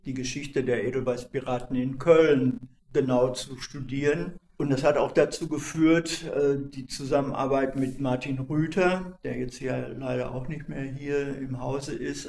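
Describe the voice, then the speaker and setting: Older man, volunteer archivist, halting, searches for words, roomy recording. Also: medium pitch. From not from Cologne. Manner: hesitant, many fillers and restarts. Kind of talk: conversational interview answers. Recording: echoey room.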